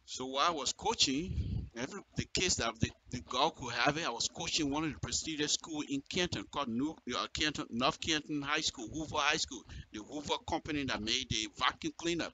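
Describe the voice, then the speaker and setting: A man's voice: fairly high pitch, a little fast, pushed and bright, a little hiss or hum, poor audio. A man with a Liberian accent giving impassioned political commentary in direct address, emphatic and repeating phrases for effect. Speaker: radio commentator.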